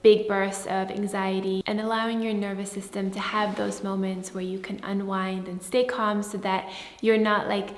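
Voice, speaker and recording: Soft-spoken Swedish-born woman in an echoey room. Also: mid-range voice. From Sweden. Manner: soft, earnest, often says "like". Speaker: vlogger answering viewer questions. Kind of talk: casual Q&A to camera. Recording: echoey room with long reverb.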